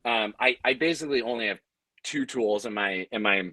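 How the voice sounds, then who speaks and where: A man with a mid-pitched voice talks as a creator on a discussion panel. He sounds thoughtful and halting, repeating words as he works out his point. The sound is dry, with very little room. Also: bright, forceful tone, no echo. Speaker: audio drama creator, panelist.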